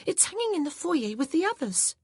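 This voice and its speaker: A narrator doing a shrill excitable young girl. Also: brisk, no echo, studio quality. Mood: indignant. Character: excitable outspoken teenage girl.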